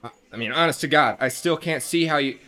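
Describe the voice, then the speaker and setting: A man's voice: on the high side, fairly quick, bright, forceful tone. A man acting a frazzled, defensive young restaurant worker in a scripted read, rambling and stammering with sarcasm. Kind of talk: acted script table read.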